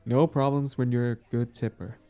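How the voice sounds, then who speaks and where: A man acting out a smug but smitten villain on a date, smooth-talking and casual, in an intimate audio roleplay. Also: mid-range voice, level tone, moderate pace, mellow, faint music behind, lightly acted. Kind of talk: intimate scripted boyfriend-style audio roleplay.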